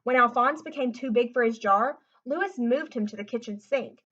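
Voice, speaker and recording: High-voiced woman reading a children's storybook. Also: normal speed, a bit bright, dull audio. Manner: explains simply, as to young children. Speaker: read-aloud host. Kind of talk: picture book read-aloud with chatty asides. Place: indoors at home, sitting on the floor.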